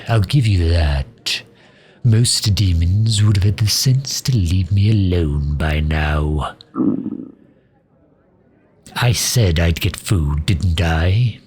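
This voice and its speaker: A man playing a sardonic, loyal fantasy mage advisor in an intimate ASMR roleplay, dry and grumbling, with a husky, breathy delivery. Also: low-pitched, exaggerated voice. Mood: exasperated, dry. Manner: dry, grumbling, sharp-tongued, with hesitant breaks.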